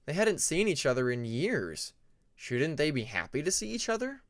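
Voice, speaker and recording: A man reading a fantasy story aloud as scripted narration, in a measured storybook manner. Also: on the high side, very animated. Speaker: fan fiction audiobook narrator.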